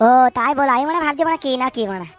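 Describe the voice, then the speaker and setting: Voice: high voice, lively intonation, rapid-fire, fairly bright tone, soft background music. An actor voicing a crude, lovestruck cartoon sidekick, defensive and shifty, in speech, blustering and stammering when flustered. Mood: excitable, flustered. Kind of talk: comic cartoon dub with street slang.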